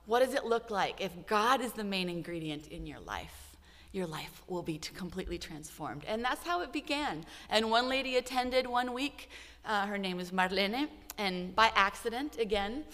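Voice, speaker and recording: A woman's voice: medium pitch, fairly bright tone, big-room sound. A woman in her forties, a missionary telling a heartfelt story of her work to supporters. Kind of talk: church missions update.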